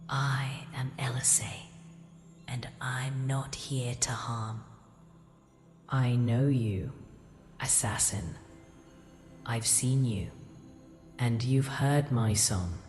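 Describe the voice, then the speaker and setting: An actress playing an ancient, imperious goddess in a fantasy audio drama, speaking in a solemn, regal, formal way. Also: female voice, a bass voice, fairly even intonation, very slow, pauses often, darkish tone, exaggerated voice. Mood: calm, ominous. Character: ancient imperious goddess in a queen's body, ghostly, commanding. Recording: cavernous reverb, faint music.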